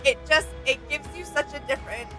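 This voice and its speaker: A woman's voice: sits high, brisk, sharp tone, faint music behind. A young woman co-hosting a reaction video in casual, conversational style. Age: young adult. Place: small indoor room with desk and shelves.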